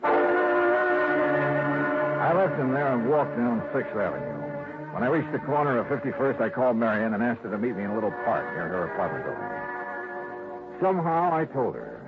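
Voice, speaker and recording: A cool hardboiled investigator from fifties radio drama. He is terse and wry with lively swings in pitch, on a thin, tinny recording with music. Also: fairly quick, pauses often. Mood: grave, narrating. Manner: terse, dry. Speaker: lead detective and narrator.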